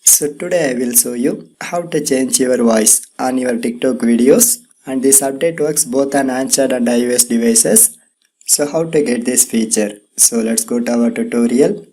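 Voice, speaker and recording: A man's voice: medium pitch, normal speed, warm tone, low-quality recording. A man hosting a tech tutorial in scripted narration, with non-native English phrasing.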